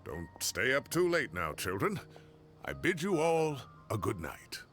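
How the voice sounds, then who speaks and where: A kindly old professor in a video game, chatty and fatherly, his voice rising and falling with a very lively lilt. Game music sits under him. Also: medium pitch, a little slow. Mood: genial. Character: kindly old male professor, genial farmer. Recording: game audio with music behind.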